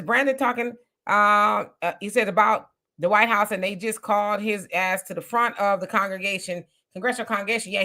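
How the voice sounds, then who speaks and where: Bright animated woman host. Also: mid-range voice. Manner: animated, mocking voices when quoting others. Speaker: host reading viewer comments. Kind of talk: casual livestream commentary.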